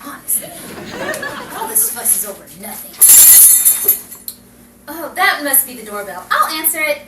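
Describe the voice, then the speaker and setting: Noisy echoey recording, woman acting as fluttery 1950s radio-comedy wife, high swooping voice, over-sweet, stammering, meddling. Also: very animated, normal speed, recorded from a distance, a loud crowd. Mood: feigned innocence.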